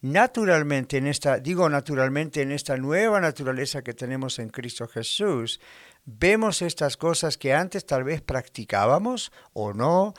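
A man's voice: a little high, animated, moderate pace, no echo, clean studio sound. A man from Argentina preaching a sermon in a conversational way, full of rhetorical questions and imagined dialogue. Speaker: pastor.

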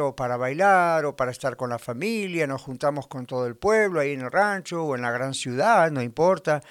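A man's voice: fairly high pitch, a bit bright, clean tone, no echo, studio quality. A man from Argentina preaching a sermon in a conversational way, full of rhetorical questions and imagined dialogue. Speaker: pastor.

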